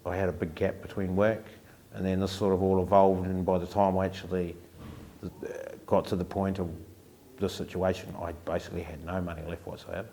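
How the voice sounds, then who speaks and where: A man's voice: low-pitched, level tone, a little fast, mellow, a little hiss or hum. A man, speaking matter-of-factly in a documentary interview. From New Zealand.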